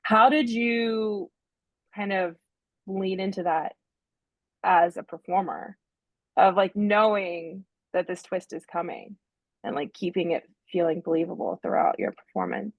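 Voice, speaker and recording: A woman's voice: medium pitch, steady tone, unhurried, gravelly, no room sound, phone-quality sound. Chatty woman moderating, dry sound. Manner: chatty, lots of "like" and "right?". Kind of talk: casual moderating.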